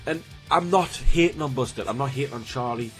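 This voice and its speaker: A man's voice: medium pitch, brisk, faint music behind. A man, chatting casually to camera as a YouTuber, rambling and restarting, a bit snotty from a cold. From Newcastle, England. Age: 20s. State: has a cold, slightly snotty.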